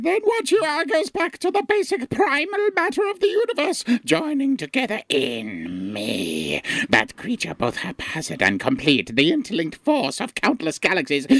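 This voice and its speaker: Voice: medium pitch, fairly bright tone, no room sound. A performer voicing an ancient, self-important cosmic being in a comedic audio drama, musing grandly and gloomily. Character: Alpha Omega, ancient grandiose cosmic super-pet, melancholy, self-important.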